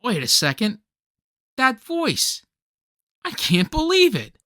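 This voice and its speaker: A woman playing an earnest, starstruck fan in a radio play, with a gushing, halting delivery. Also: on the high side, huge pitch swings, a bit bright, no echo, studio quality, full character voice. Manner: stammering, gushing.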